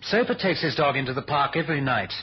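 An actor playing a dry, worried British government official in a 1970s radio spy drama, formal and understated. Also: male voice, a little high, animated, quick pace, slight background noise, close to an everyday voice. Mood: dry, resigned. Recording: dull old radio recording.

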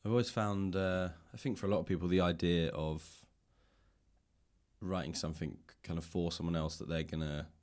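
A man with a low-pitched voice hosts a casual interview. He talks reflectively and at length, in a loose conversational style. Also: fairly even intonation, normal speed, a little mellow. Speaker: podcast host, interviewer.

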